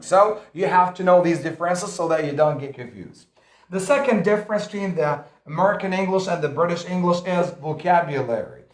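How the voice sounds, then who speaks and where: Emphatic high-voiced male English teacher. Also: reverberant. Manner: repetitive, emphatic teaching delivery. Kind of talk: recorded English lesson.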